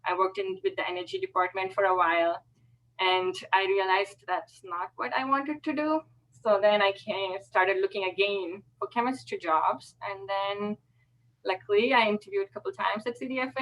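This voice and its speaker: A middle-aged woman from Fiji shares her career path on an online panel. Her voice sits at a medium pitch, and she talks in an informal, halting, conversational way. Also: a bit bright, rough recording. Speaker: panelist, alumna working as a supervisor. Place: online video call.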